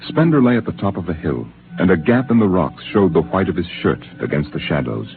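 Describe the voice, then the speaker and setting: A man narrating a radio drama in a measured, deliberate storytelling manner. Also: sits low, sing-song. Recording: tinny 1950s radio transcription with music.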